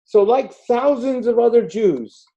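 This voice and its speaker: An older man from India tells his story in a slow, measured way, pausing between phrases. His voice has a very dark, mellow color. It comes through a video call with slightly muffled, lo-fi sound. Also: extremely high pitch, expressive. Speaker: guest speaker. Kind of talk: prepared talk with slides.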